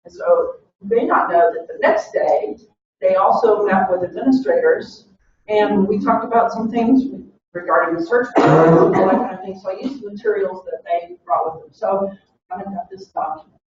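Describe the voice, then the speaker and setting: A woman's voice: mid-range voice, expressive, normal speed, background noise. A woman from Oklahoma presents to a university search committee. She tells a personal story and asks rhetorical questions. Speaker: university diversity administrator. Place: meeting room. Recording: distant, echoey, dull room recording.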